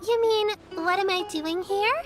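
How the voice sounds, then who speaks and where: Very high, slow little girl. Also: over loud music. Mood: happy. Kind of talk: video game character dialogue.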